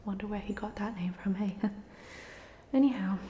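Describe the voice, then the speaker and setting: A woman's voice: medium pitch, unhurried, slight background noise, lightly acted. A woman playing a polite, chatty ghost of a drowned student in a whispered, hesitant ASMR roleplay. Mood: amiable, chatty. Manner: whispered, hesitant, gently rambling.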